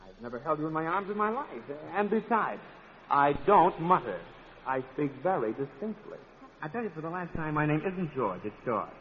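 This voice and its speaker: A man's voice: fairly high pitch, very animated, normal speed. A man working as master of ceremonies, speaking scripted lines on a 1940s radio variety show. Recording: dull, noisy old radio recording.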